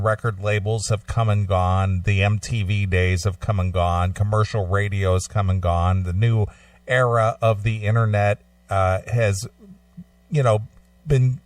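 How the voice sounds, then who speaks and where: A man hosting a conversational radio interview, speaking in measured, wordy phrases with hesitations. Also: fairly low pitch, dry recording, far from the mic. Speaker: radio show host.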